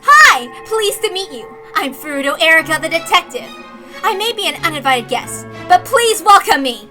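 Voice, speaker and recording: A woman's voice: extremely high pitch, big pitch swings, normal speed, pushed and bright, soft background music, voice pushed up, full character voice. A woman voicing a young female detective, a defiant, taunting villain with a theatrical manner, in a visual novel reading. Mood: gleefully theatrical.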